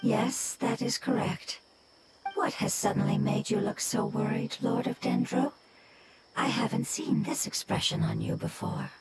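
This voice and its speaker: A woman's voice: mid-range voice, fairly even intonation, a little slow, very noisy, close to an everyday voice. A woman voicing a wise mushroom spirit in a video game, with formal, precise wording. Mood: wise, calm.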